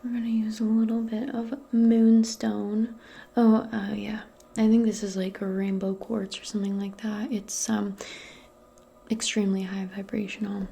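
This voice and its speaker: A woman speaking softly and breathily, often near a whisper, in a gentle, casual ASMR role play aimed closely at the listener. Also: medium pitch, flat delivery, normal speed, vocal fry, husky. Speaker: ASMR performer role-playing as a modern witch. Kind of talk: soft-spoken ASMR role play, personal attention.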